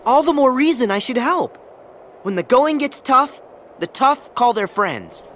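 A man's voice: very high-pitched, animated, measured pace, fairly bright tone, a little hiss or hum, heavily acted. A young man voicing an eager, kind teenage boy hero who talks earnestly and asks questions. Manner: earnest, inquisitive. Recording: game audio with music behind.

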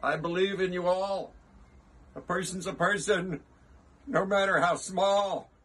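Guy doing a big kind storybook elephant, earnest n urgent, pitched up high, kinda husky. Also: takes it slowly. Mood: urgent encouragement. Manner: earnest, emphatic calling.

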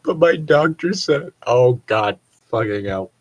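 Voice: medium pitch, animated, measured pace, husky, muffled recording. A person reading fan fiction aloud and joking about it in a sweary, mock-exasperated way. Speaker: reader and commentator.

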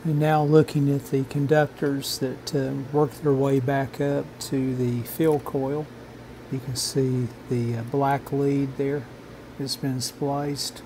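A man narrating an instructional repair video in a halting, measured, technical way. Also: medium pitch, flat delivery, dark tone, slight background noise, low-quality recording. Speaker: host of a radio repair channel.

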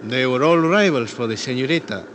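A man playing an earnest, courteous Mexican with a Spanish accent and halting, formal English in a radio drama. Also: lively intonation, natural voice. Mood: courteous, confiding. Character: earnest Mexican male local, courteous and gently troubled. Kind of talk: 1950s radio drama acting. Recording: noisy old radio, vehicle rumble behind.

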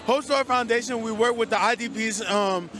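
A man's voice: very high-pitched, normal speed, a bit bright. A man from Nigeria who works for a nonprofit, speaking earnestly in a street interview. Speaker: nonprofit worker.

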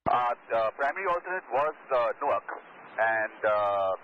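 A man speaking as an airline pilot over aviation radio, in halting, hesitant phrases. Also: mid-range voice, expressive. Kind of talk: radio exchange with air traffic control. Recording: muffled lo-fi cockpit radio, loud static.

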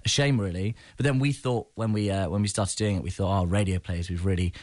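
A man's voice: deep voice, fairly even intonation, brisk, a little mellow, no room sound. A young man with an English accent, answering casually as a guest in a radio interview. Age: young adult. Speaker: theatre director, interview guest. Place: radio studio.

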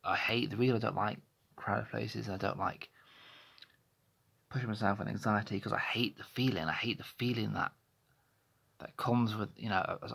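A man's voice: fairly low pitch, gravelly, a bit bright, husky. A man in his thirties talking candidly into his phone in a small bedroom, hesitant and rambling with many restarts. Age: 30s. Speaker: vlogger. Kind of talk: candid personal vlog monologue. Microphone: phone held close to face.

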